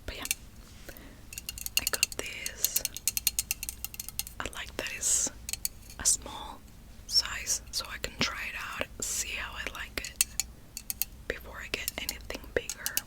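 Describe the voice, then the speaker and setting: Slow soft whisper, woman w/ rambling asmr-style, joking about herself. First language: Spanish. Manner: soft rambling whisper, self-deprecating asides. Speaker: ASMR creator.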